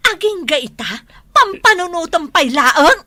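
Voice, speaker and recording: A woman's voice: very high voice, animated, unhurried, noisy background. A woman playing a strict, scolding wife who nags her husband in an Ilocano radio drama, with an Ilocano accent. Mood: angry, scolding. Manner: scolding, threatening, exclamatory.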